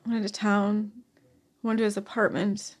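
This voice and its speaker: A woman in her forties, a podcast guest telling a personal story in conversation. She speaks softly and haltingly, with frequent sighs and restarts. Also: mid-range voice, flat delivery.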